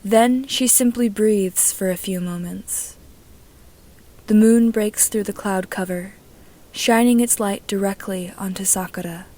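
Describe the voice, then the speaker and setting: A woman's voice: mid-range voice, measured pace, mellow. A woman reading a scripted story aloud as an amateur narrator.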